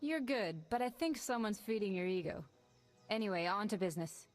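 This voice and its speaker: Weary ex-smuggler pilot woman, voiced by an actress; brisk, businesslike, schemer. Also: medium pitch, animated, faint music behind, close to an everyday voice. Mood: scheming.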